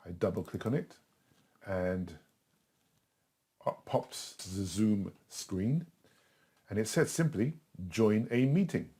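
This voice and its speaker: A middle-aged man giving a casual step-by-step tutorial in a chatty, simple way, with a husky voice. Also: on the low side, expressive, takes it slowly, long pauses, mellow. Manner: simple, chatty, talking himself through each step. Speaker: tutor in a tutorial video, also acting as the student.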